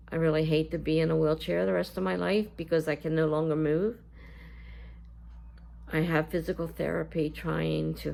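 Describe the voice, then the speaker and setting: A woman with a scratchy voice talking casually to her viewers in a vlog, halting and full of fillers. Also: deep voice, gravelly, a little mellow. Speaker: YouTuber. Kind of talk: casual talk to camera.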